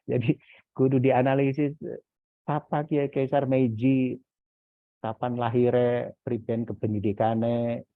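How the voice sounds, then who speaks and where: A man's voice: medium pitch, flat delivery, slow, long pauses. A man giving informal, didactic feedback as a university lecturer in an online class. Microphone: video call. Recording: echoey, phone-like video-call audio.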